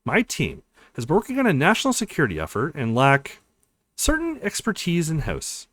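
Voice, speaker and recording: Relaxed, friendly tech lead, read by a man. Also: fairly high pitch, moderate pace, dry recording, full character voice. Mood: earnest pitch. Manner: easygoing, confident. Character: friendly, relaxed male government tech lead pitching a mission.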